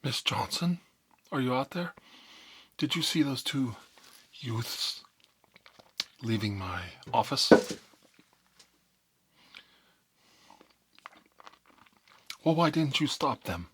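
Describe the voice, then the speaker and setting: A man's voice: medium pitch, lively intonation, takes it slowly, long pauses, slightly rough, voice pushed up, heavily acted. A man playing an unhinged, candy-obsessed school principal in a comedic ASMR roleplay, muttering melodramatically to himself and often whispering. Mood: indignant. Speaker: ASMR performer. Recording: loud crinkling and clicking noise.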